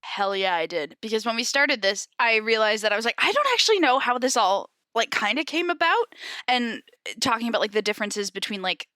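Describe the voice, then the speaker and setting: A woman with a fairly high, very bright voice talks like a podcast co-host going through notes. She is informal and giggly, wandering into asides, and the sound is dry and close. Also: big pitch swings, fairly quick, no echo. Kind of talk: casual informal explainer chat, reading from notes.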